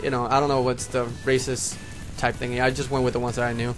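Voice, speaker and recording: A man's voice: medium pitch, little pitch movement, fast, soft background music. A young man from Puerto Rico whose first language is Spanish, speaking with a Puerto Rican accent and a slight lisp, rambling casually to his viewers as homies. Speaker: YouTuber. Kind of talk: casual vlog monologue.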